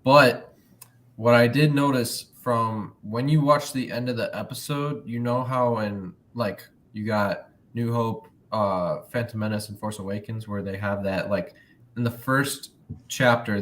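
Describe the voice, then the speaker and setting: A man's voice: fairly low pitch, slight background noise. A man co-hosting a casual podcast, talking loosely with many "like" fillers. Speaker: podcast co-host. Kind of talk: casual chat.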